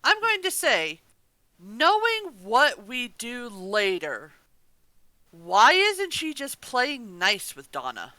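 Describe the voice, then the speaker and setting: A woman with a bright, clear voice that swings in pitch. She chats casually and gives her opinions, close and dry on the mic. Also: huge pitch swings, slow pace, dry recording. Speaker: podcast co-host.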